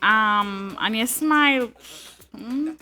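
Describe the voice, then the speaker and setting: A woman's voice: fairly high pitch, extremely slow, bright, forceful tone, soft background music. A woman hosting a live talk show, reading out viewer comments and reacting to them in a chatty way.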